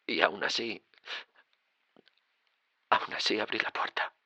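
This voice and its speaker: An actor playing a haunted driver recounting his dread in a horror audio drama, with suspenseful pauses. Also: bright, forceful tone, no echo, heavily acted. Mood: subdued, uneasy. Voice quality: low, gravelly. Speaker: narrator and lead character. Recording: dramatic music under the voice. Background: music.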